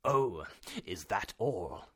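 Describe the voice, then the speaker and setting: A man voicing an affectionate older professor uncle in a storybook, with emphatic, deliberate, drawn-out phrasing. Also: fairly low pitch, lively intonation, a bit bright, husky, heavily acted. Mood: dry, firm.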